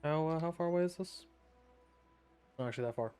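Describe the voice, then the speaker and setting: A man's voice: on the high side, fairly quick, a little mellow, clean tone. A man casually commenting on a game as he streams it, blunt and dryly joking. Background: game music.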